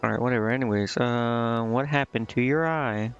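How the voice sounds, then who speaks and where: Gravelly-edged man streaming a game casually. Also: mid-range voice, normal speed, lo-fi sound, a little hiss or hum. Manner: informal, slangy, reacting offhand between read-out lines. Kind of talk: casual livestream reading game dialogue aloud with commentary.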